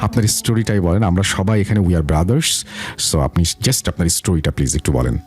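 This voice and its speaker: A man's voice: low voice, animated, fairly quick, mellow, faint music behind. A man with a Bangladeshi accent hosting a show conversationally, mixing English phrases into his talk. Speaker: host of a ghost story show.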